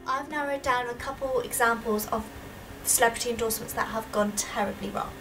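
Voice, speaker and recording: A woman's voice: on the high side, brisk, over music. A young woman giving a prepared student presentation in an earnest, explanatory, emphatic way. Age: young adult. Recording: distant microphone with audible background noise.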